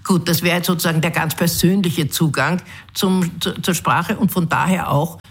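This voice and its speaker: A woman, a guest in an interview, born in London with English as her first language and raised in Austria, answering reflectively with frequent pauses and restarts. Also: fairly low pitch, fairly even intonation, creaky, breathy edge. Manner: thoughtful, hesitant, many restarts and fillers.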